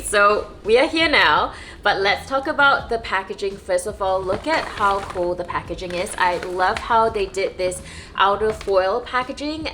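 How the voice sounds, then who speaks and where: A woman's voice: on the low side, a bit bright, slight background noise. A woman from Malaysia chatting casually and enthusiastically to camera as a vlogger.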